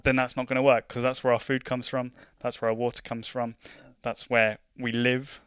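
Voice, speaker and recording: A man's voice: mid-range voice, little pitch movement, moderate pace, a bit bright, clear, resonant tone, no room sound. Chatty London man, dry close sound. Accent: London English. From London, England. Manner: chatty, frequent restarts and "you know" fillers. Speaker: conservation project worker. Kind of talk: casual conversational interview.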